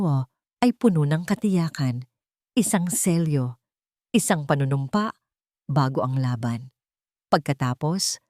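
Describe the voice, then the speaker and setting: A woman reading a scripted romance story as narrator, with deliberate, dramatic phrasing. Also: lowish voice, darkish tone, dry recording, clean studio sound.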